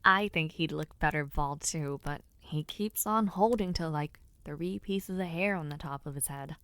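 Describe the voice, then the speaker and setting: A woman voicing a playful, affectionate young noblewoman knight in an audio roleplay, speaking softly and teasingly and often dropping into whispers. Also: low-pitched, moderate pace, a little hiss or hum. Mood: chatty, teasing. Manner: soft, teasing and intimate, drops to whispers.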